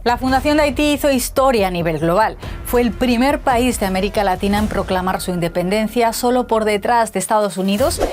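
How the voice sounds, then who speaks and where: A woman giving scripted documentary narration in a clear, even, polished way. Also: mid-range voice.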